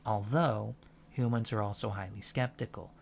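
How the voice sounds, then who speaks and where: A soft-spoken man giving a deadpan, matter-of-fact ASMR roleplay lecture as a college teacher. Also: lowish voice, moderate pace, vocal fry, a little hiss or hum. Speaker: ASMR performer playing an alien college lecturer.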